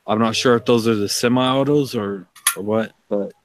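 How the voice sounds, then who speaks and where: A man chatting casually as a livestream guest, with a halting, self-deprecating way of talking. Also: on the low side, a little hiss or hum. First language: English. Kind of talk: casual chat.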